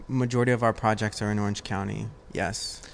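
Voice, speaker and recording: A man with a fairly low voice talks as a guest in a casual interview. He speaks in a hesitant way, feeling for the right words as he explains things. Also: fairly even intonation, a little fast, vocal fry, a little hiss or hum. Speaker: podcast guest, design business partner. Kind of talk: casual conversational interview answers.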